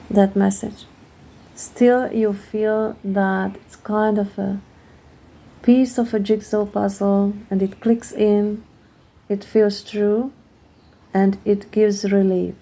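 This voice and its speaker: Slow, gravelly-voiced Hungarian woman. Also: medium pitch, mellow, poor audio. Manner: halting, searching for words. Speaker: tarot card reader. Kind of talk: explaining a card reading.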